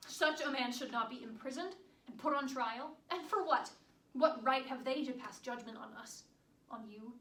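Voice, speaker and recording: A woman's voice: high-pitched, moderate pace, fairly bright tone. A woman performing a theatrical period monologue as a devoted, proud country wife, with formal old-fashioned diction. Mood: worried, bitter. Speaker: stage actress performing monologues. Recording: echoey room, distant mic, background noise.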